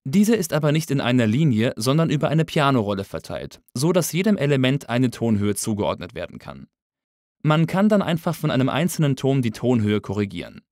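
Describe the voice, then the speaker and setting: A man narrating a scripted audio engineering tutorial in a clear, orderly, explanatory way. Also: medium pitch, big pitch swings, brisk, a little mellow, dry recording, studio quality. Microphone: close studio voice-over mic.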